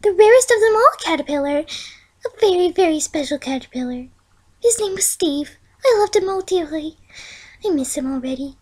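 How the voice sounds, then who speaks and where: A woman's voice: extremely high pitch, animated, moderate pace, a little mellow, voice pushed up. A woman voicing a timid, frightened young female pony in a fan story, with a meek, whispery, faltering voice. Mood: mournful.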